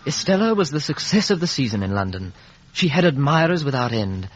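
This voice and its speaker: An actor playing an earnest young gentleman who also narrates, in an old radio drama. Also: medium pitch, very animated, brisk, soft background music. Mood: reflective. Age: young adult. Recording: muffled vintage radio broadcast recording.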